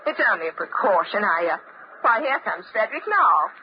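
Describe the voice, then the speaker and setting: A woman's voice: medium pitch, big pitch swings, brisk, background noise, close to an everyday voice. Actress as a fluttery, genteel radio-drama lady, muffled old recording. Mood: confiding, fluttery. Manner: polite, fluttery, effusive. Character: chatty, genteel married lady on an ocean voyage.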